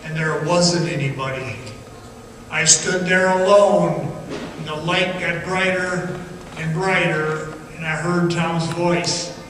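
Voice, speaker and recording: A man playing a frightened miner recounting a haunting underground ordeal as a halting, suspenseful radio theatre monologue. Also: fairly high pitch, fairly even intonation, fairly bright tone. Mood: fearful, tense. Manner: halting, suspenseful, dramatic storytelling. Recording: noisy, very echoey hall, distant mic.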